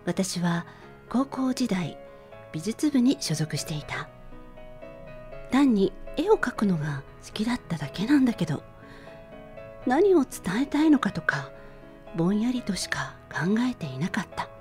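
A woman playing a weary office worker who narrates memories of her schooldays softly and wistfully in a radio drama. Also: mid-range voice, very animated, unhurried, pauses often, soft background music. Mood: wistful, tender.

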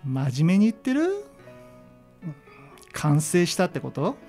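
A man playing a frank but gentle high school art teacher, speaking calmly and casually in a radio drama. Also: takes it slowly, faint music behind, heavily acted. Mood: probing, frank. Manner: calm, casual, probing.

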